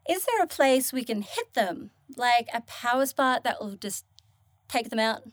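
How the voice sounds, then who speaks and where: Female actor for flippant, curious young woman in fantasy audio drama; slangy, sarcastic delivery, unhurried, higher-pitched. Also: expressive, no echo, studio quality. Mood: curious, alarmed. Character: flippant, grumpy young woman newly dead, blunt and curious.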